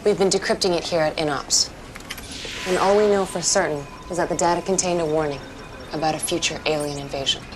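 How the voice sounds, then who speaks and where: Woman acting military staff officer, very low voice, serious, businesslike reports, video game, background noise. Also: steady tone, a little fast, close to an everyday voice. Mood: grave. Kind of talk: acted video game cutscene.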